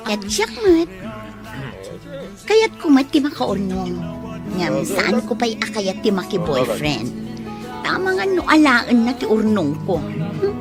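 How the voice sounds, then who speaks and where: A woman playing a giggly, smitten young woman in a Filipino radio drama, with an expressive, teasing delivery and a Filipino accent. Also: medium pitch, takes it slowly, long pauses. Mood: chatty, explaining. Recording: radio drama with music underneath.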